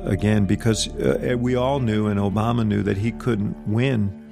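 Brief: gravelly low-ish man, candid reflective interview, music clearly behind. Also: fairly even intonation, moderate pace.

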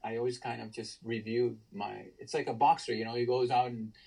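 Gravelly baritone man, husky. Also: flat delivery, fairly quick, dark tone, rough recording. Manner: enthusiastic storyteller, many restarts. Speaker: guest, tribute band singer. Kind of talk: casual podcast interview.

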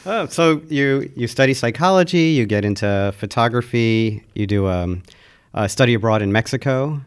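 A man hosting an interview podcast, talking casually and pausing often on fillers. His voice sits at a middle pitch. The sound is dry and close. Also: lively intonation, smooth, no echo. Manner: conversational, halting with fillers. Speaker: podcast host.

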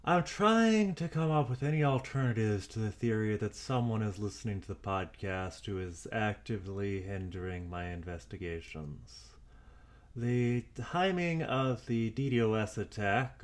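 A man playing a wary, methodical amateur investigator dictating audio logs in an audio drama, speaking deliberately and with some hesitation. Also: on the low side, natural voice. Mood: wary, pondering. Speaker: podcast drama actor. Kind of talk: audio log monologue, audio drama.

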